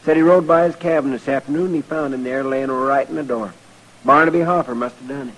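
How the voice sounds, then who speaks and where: An actor playing a deferential, earnest frontier sidekick in a radio western, with a rural American western drawl. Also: male voice, mid-range voice, fast talker, lightly acted. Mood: urgent, earnest. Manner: earnest, plain-spoken, hesitant. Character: deferential, folksy frontier deputy sidekick, male. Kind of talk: old-time radio western acting. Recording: noisy old radio recording.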